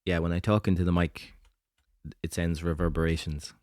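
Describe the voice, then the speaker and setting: A man who is a musician on a live stream, chatting casually between songs in a soft, deliberately low and relaxed voice. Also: no room sound, studio quality. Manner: talks softly, keeping his voice low and deep on purpose; relaxed and chatty, thanking viewers. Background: faint guitar strumming and tuning.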